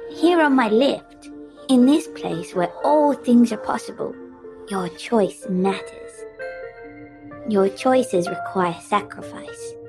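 A woman's voice: a little high, animated, takes it slowly. Enigmatic mistress of a magical lift, played by a woman, podcast promo, theatrical swoops, ominous, light music behind. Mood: ominous, questioning. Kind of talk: scripted podcast promo monologue.

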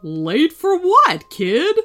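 A woman voicing an excitable, theatrical old male wizard in a children's story, friendly and grand, with drawn-out exclamations. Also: sits high, faint music behind, voice pushed up, full character voice. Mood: friendly, bargaining.

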